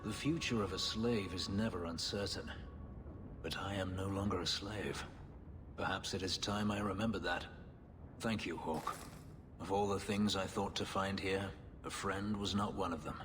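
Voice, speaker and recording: An actor playing a brooding, bitter escaped slave in a video game, speaking in a measured, guarded, gravelly murmur. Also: male voice, low-pitched, fairly even intonation, lightly acted. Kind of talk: video game cutscene dialogue. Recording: long reverb, loud background noise.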